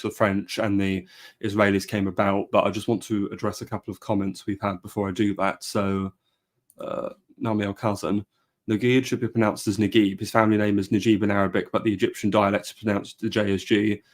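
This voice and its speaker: A man with a low voice hosts a live history discussion. He talks in an even, flat line and often stops for fillers and false starts. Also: fairly quick. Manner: hesitant, many fillers and restarts. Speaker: podcast host. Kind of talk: casual live-stream discussion.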